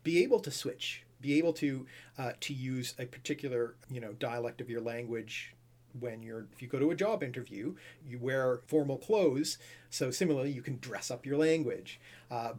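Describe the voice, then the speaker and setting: A man with a husky, slightly breathy voice talks through ideas about language at an easy, conversational pitch. He explains patiently and thinks aloud, with frequent "you know" and small restarts. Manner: thoughtful, explaining with fillers and restarts. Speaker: language expert guest.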